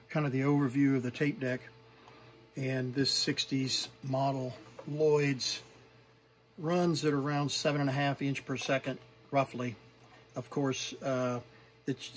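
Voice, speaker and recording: A middle-aged man presenting from home, showing vintage gear in an unscripted, halting, informal and appreciative way. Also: mid-range voice. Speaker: vintage tape recorder collector and presenter. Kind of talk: unscripted hands-on demonstration.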